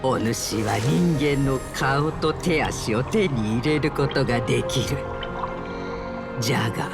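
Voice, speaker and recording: A woman's voice: a bass voice, takes it slowly, exaggerated voice. A woman voicing an ominous old witch in a fairy-tale anime game, with archaic crone speech. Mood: ominous warning. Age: older. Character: ominous old witch granting a cursed potion. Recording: loud music behind the voice.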